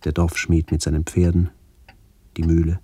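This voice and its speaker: An actor playing a melancholy man recalling his family and lost village in a measured, reflective radio play narration. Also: low voice, completely flat delivery, warm tone, breathy edge. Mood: elegiac, reflective.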